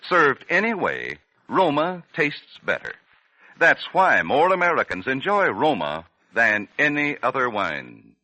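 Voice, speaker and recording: A man announcing a 1940s radio advertisement, polished and emphatic with deliberate phrasing. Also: medium pitch, huge pitch swings, pushed and bright, no room sound. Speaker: radio announcer and sponsor spokesman. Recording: dry vintage 1940s radio recording.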